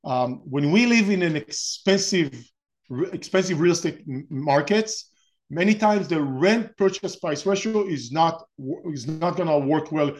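A man's voice: on the high side, lively intonation, a little slow. Israeli-accented man hosting a webinar. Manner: many restarts and fillers, explanatory. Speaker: webinar host. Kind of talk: webinar presentation.

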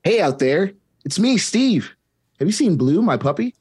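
A man's voice: sits high, big pitch swings, normal speed, darkish tone, breathy edge, voice pushed up, exaggerated voice. A man playing a cheery adult host of a kids' show, talking to the viewer in an upbeat voice. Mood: cheerful. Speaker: actor in a table read.

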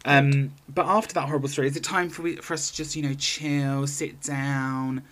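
A woman's voice: a bass voice, fairly even intonation, moderate pace. Woman, podcast co-host, informal chat, exclamatory, jokey asides, conversational storytelling. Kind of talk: casual chat, retelling a magazine story.

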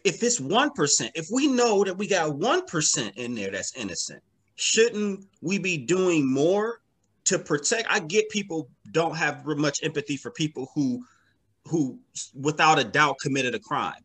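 A man's voice: on the high side, fairly bright tone, breathy edge. A man speaking as an interview guest in a casual, reflective way, emphatically repeating phrases to build his points. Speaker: interview guest, comic book writer.

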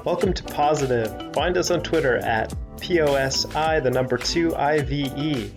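A man's voice: a little high, normal speed. A man hosting a podcast, reading a polished, upbeat intro and then asking interview questions. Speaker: podcast host. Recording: music bed under voice. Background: music.

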